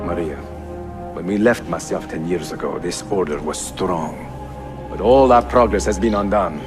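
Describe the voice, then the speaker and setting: An actor playing an older, aggrieved assassin master in a video game, solemn and intense. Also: male voice, sits low, normal speed, natural voice. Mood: grave, regretful. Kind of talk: dramatic video game acting. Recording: loud music behind the voice.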